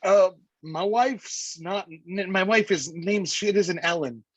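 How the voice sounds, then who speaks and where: Man playing a flustered, husky, cowardly wisecracker. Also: sits high, takes it slowly, dry recording. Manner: stammering, nervous, indignant. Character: flustered, cowardly, wisecracking male crook and husband. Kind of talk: staged reading of a noir detective comedy.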